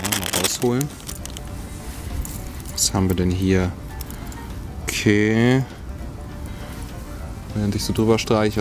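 Man, outdoor gear review, low voice, thinking out loud, music in background. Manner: musing, talks while handling items. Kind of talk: casual hands-on product review. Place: outdoors on grass by a log. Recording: music playing underneath.

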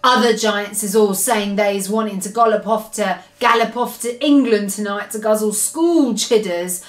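A woman's voice: medium pitch, moderate pace, roomy sound, close to an everyday voice. A woman voicing a brutish, greedy male giant from a storybook, bellowing and scornful in mangled grammar. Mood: gloating.

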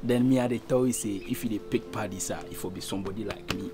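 A man's voice: lowish voice, fairly even intonation, fast talker, a little mellow, lightly acted. Guy acting ghanaian hothead, protective of his madam, blustering and repeating himself, bit of music under it. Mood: agitated. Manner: agitated, repetitive, blustering. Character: hot-headed, protective loyal man serving his madam. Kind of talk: dramatic acting in Pidgin.

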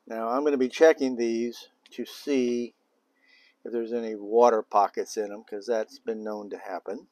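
A man's voice: normal speed, dry recording. An older man hosting a beekeeping demonstration, talking casually and thinking aloud as he works on an outdoor patio. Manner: relaxed, thinking aloud, low-key. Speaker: beekeeping video host.

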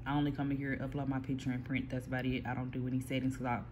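A person casually explaining printer software step by step, with frequent pauses and restarts, recorded on a phone aimed at a computer screen. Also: medium pitch, level tone, a little hiss or hum. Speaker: small print shop owner giving a software tutorial. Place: indoor workspace at a computer. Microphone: phone filming a computer screen.

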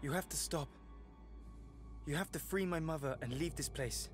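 A brave young forest lad from a video game played by a man, with a fairly high male voice. He speaks earnestly and urgently, like someone anxious to act. Music plays clearly behind him. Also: normal speed, natural voice. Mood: defiant. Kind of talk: video game cutscene acting.

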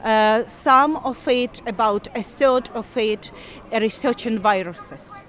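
Ukrainian-accented woman, 40s, interviewed outside in a park, earnest, lots of little pauses. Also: on the high side, a bit bright. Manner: earnest, measured, with frequent pauses. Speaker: interviewee, scientist. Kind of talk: outdoor street interview. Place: park outdoors, people milling behind.

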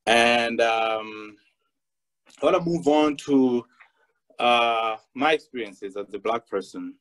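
A man's voice: mid-range voice, poor audio. A young man from Malawi, speaking as a panelist on a video call and telling a personal story with many fillers and restarts.

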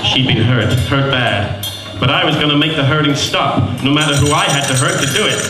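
A man's voice: fairly high pitch, fairly quick, lightly acted. Echoey, wry hard-boiled private eye played by a man. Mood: wry, brooding. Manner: deadpan, clipped, theatrical. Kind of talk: film noir radio-play narration. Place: large theatre stage before an audience. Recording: echoey live theatre stage, music behind.

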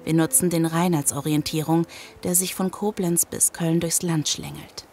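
Casting: actress as brooding female apocalypse survivor, first-person narration, low-pitched, grave and weary, prominent music underneath. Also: steady tone, normal speed, mellow, natural voice. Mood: grave, anxious. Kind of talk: scripted first-person audio drama narration.

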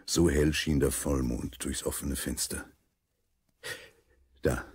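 A man narrating a children's radio play as a grave, unhurried storyteller. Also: a bass voice, steady tone, a little mellow, slightly rough, no room sound, studio quality, heavily acted. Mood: suspenseful storytelling.

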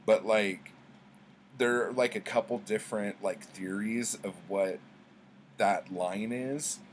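A middle-aged man with a very low voice talks casually to the camera. He takes his time, rambling along in a laid-back, slangy way peppered with swearing. There is faint noise behind him in the home recording. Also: takes it slowly. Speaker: book vlogger. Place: small book-lined room at home.

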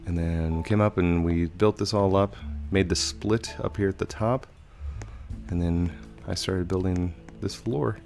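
A low-pitched man in his thirties talks through a video game, chatty and meandering with plenty of ums. His voice is a bit hoarse and stuffy from a cold. He speaks into a headset mic at home, with soft music underneath. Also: fairly even intonation, unhurried. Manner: chatty, rambling, with frequent fillers. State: slightly hoarse with a head cold. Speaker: gaming channel host. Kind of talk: casual let's play commentary.

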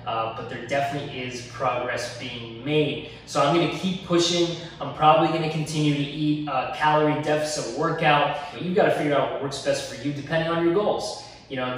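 A young man hosting a fitness vlog in a home gym, talking in an earnest, encouraging way to camera. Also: a little high. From Egypt. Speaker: fitness channel host. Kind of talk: casual fitness vlog talk. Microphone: camera at a distance. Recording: echoey room, mic at a distance.

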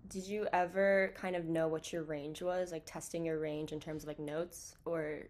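A woman in her twenties giving a singing lesson over a video call, encouraging and quick to laugh. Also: low-pitched, flat delivery, a little mellow. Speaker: vocal coach.

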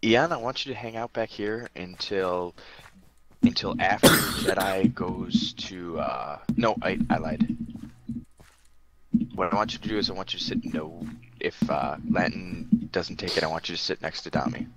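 A man's voice: low voice, normal speed. A man casually giving directions to a group in an online game voice chat. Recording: noisy voice chat with clicks.

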